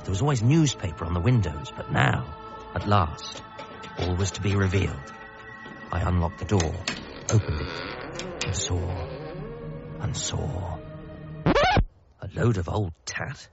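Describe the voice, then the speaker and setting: An actor playing a wry, wistful British son, narrating a radio comedy drama in the first person with dramatic pauses. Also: male voice, low-pitched, big pitch swings, gravelly, heavily acted. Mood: wry, suspenseful. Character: bereaved ordinary son, wry and wistful storyteller. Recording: dull band-limited sound with music behind.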